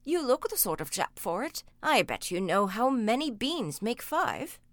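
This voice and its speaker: A sly, chuckling little old man from a storybook, put on by a woman reader. He speaks in a higher, airy voice, in a dry, close recording. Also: big pitch swings, measured pace, a bit bright, no echo. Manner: chuckling, wheedling.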